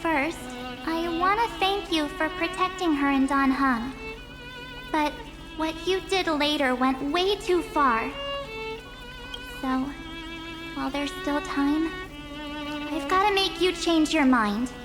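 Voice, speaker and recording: A bright-spirited, determined young girl speaks. She is informal and emphatic, as a video game heroine over clearly audible music. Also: high voice, slow, long pauses. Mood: earnest, resolute. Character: upbeat, earnest, cheeky young girl, determined and loyal.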